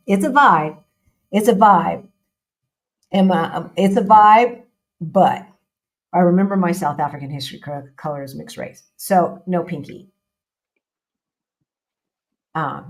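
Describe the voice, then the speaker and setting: A woman with a low voice hosts a relaxed live-stream chat. She talks in a loose, chatty way, repeats herself for emphasis and drops casual slang as she goes. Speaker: host.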